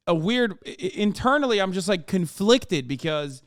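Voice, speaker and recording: A man's voice: high voice, lively intonation, moderate pace, dry recording, clean studio sound. A man streaming live, reacting to clips and articles in a blunt, profane, self-mocking way.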